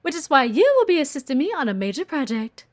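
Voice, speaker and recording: Woman acting a haughty, high-voiced female office executive. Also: very animated, fast. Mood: haughty, impassioned. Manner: teasing, haughty, theatrical, sighing. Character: sharp, demanding, flirty female office executive, condescending yet playful. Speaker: voice actor in an audio roleplay. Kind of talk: intimate audio roleplay acting.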